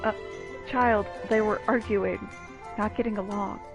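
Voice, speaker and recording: A woman's voice: mid-range voice, steady tone, unhurried. A woman giving casual let's-play commentary, with playful, wry asides. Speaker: gaming video host. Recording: slightly dull lo-fi mic. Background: faint game music.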